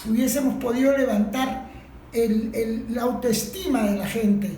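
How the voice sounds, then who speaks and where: A woman with a Venezuelan accent giving her opinion in a spontaneous discussion, in a reflective, measured way. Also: on the high side, mellow. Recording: echoey room, faint background noise.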